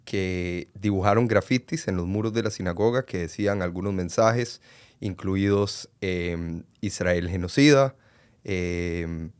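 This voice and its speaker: A man in his twenties from Costa Rica, a podcast guest speaking earnestly with frequent pauses and fillers. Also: deep voice, clean tone. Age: 20s. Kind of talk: conversational interview.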